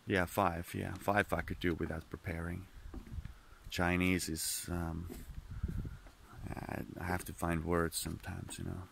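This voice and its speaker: A man's voice: low voice, little pitch movement, a little slow, gravelly, background noise. A man rambling in a candid, profane monologue, full of restarts and detours, with Finnish as his first language. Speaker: vlogger.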